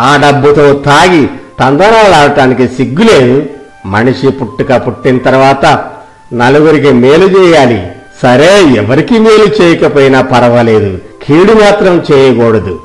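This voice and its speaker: A man's voice: medium pitch, lively intonation, moderate pace, faint music behind, natural voice, low-quality recording. Man playing a declamatory reformed bandit, loyal. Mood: indignant scolding. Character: reformed male bandit, loyal, boastful, righteous. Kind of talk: dramatised moral story.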